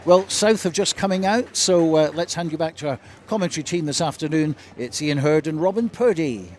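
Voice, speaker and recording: Male radio presenter, slightly high voice, live link with faint outdoor noise. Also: lively intonation, fairly quick, darkish tone.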